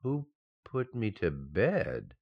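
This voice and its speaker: An actor playing a flustered, easily shocked husband and father-to-be in a radio sitcom, excitable and dithering. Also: lowish voice, slow pace, gravelly, husky, dull audio, heavily acted. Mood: concerned, puzzled. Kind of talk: old-time radio sitcom acting.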